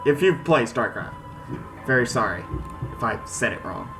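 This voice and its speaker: A man's voice: mid-range voice, expressive, moderate pace, low-quality recording. An American man hosting a gaming video, mixing casual commentary with reading game text aloud, informal and prone to stammering and repeating words. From United States. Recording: music behind the voice.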